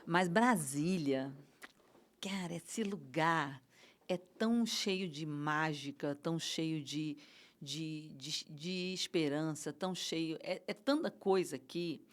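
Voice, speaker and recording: A middle-aged woman with a Brazilian accent talks as a guest in a casual interview. Her voice sits a bit low. She speaks with passion and feeling, often restarting her sentences. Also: takes it slowly. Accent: Brazilian Portuguese. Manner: passionate, emotional, many restarts and fillers. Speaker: guest, event producer.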